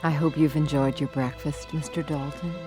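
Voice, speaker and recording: An actress playing the ghost of a dead woman cook, speaking in a distant, dreamy, flat voice with music behind. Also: sits low, lightly acted. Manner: slow, flat and calm.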